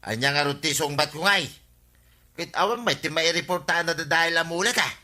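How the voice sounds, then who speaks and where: Piercingly bright, eager Ilocano village man. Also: fairly high pitch, normal speed. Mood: hesitant. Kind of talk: Ilocano radio comedy drama acting.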